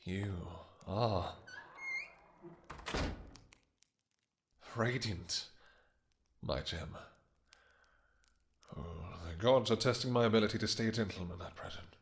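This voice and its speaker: A man playing a possessive, lovestruck vampire king in a romantic fantasy audio roleplay, speaking in formal courtly phrases with halting pauses. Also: on the low side, noisy background, close to an everyday voice. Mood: adoring, teasing.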